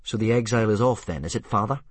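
A man's voice: lowish voice, fast, slightly rough, dry recording, pitched above the performer's own voice. A man voicing a wary young boy who speaks bluntly and guardedly to his father.

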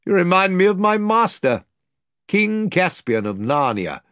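A man's voice: sits high, big pitch swings, measured pace, a bit bright, no room sound, studio quality, pitched above the performer's own voice, exaggerated voice. A man voicing a stern, grim nobleman who speaks in clipped commands. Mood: commanding.

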